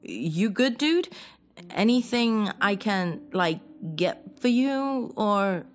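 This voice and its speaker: A woman's voice: mid-range voice, animated, slow, pitched above the performer's own voice. A woman voicing a worried young male roommate who talks in casual slang. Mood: concerned. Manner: laid-back slangy, hesitant.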